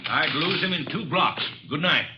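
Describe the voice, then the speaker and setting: An American actor playing a bitter, dogged drifter out for revenge in an old radio drama, talking roughly and haltingly. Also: male voice, fairly high pitch, heavy background noise, natural voice. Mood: grim, determined. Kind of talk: 1940s-50s radio drama acting. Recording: muffled old radio recording.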